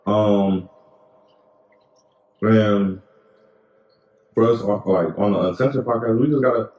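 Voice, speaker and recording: A man's voice: lowish voice, slow pace, darkish tone, reverberant, muffled recording. A young man co-hosting a podcast in casual, rambling chat full of slang and fillers. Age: young adult. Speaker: podcast co-host.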